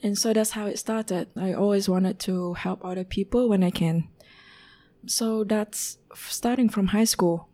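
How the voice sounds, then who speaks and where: Mellow woman telling her story. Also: medium pitch, fairly even intonation. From Vietnam. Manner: unhurried, pausing to find words, with fillers. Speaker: guest.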